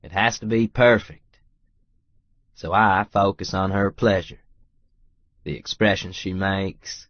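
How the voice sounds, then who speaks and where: A man narrating a first-person romance audiobook in a measured, deliberate way, phrase by phrase. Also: low voice, no echo, lo-fi sound.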